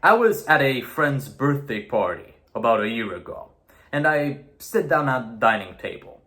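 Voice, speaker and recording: Young Romanian-native man, middle pitch, candid video-essay monologue, swears for emphasis. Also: expressive, moderate pace, a bit bright. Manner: earnest and blunt, swears for emphasis. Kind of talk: opinionated monologue to camera.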